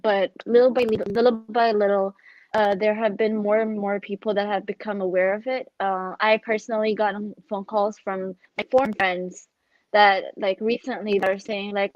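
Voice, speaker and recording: A woman's voice: mid-range voice, a little mellow, a little hiss or hum. A young woman from Ethiopia speaking as a panelist in an online discussion, chatty and full of "like" and "you know". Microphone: video call. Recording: dull, dry video call audio.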